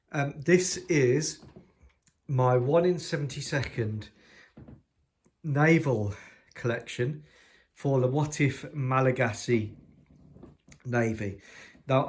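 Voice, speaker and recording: A man with hay fever who sounds sniffly, casually showing off his model collection at home in a chatty, rambling way with lots of ums and dry jokes. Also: a little high. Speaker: scale model hobbyist.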